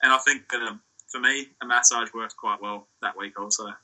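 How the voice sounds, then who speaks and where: Young Australian male athlete, bright and sharp tone, easygoing rambling answers, laptop-mic video call sound. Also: mid-range voice, moderate pace, poor audio. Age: young adult. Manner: relaxed, rambling, with restarts and fillers. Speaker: surf ski champion guest. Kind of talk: casual interview answers. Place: small room indoors. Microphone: laptop or phone on a video call.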